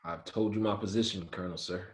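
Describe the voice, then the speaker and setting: Man reading a low-voiced, earnest young soldier. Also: steady tone, quick pace, close to an everyday voice. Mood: respectful, firm. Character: earnest, principled young male Army soldier, quietly firm. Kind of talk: screenplay table read, first-person narration and dialogue.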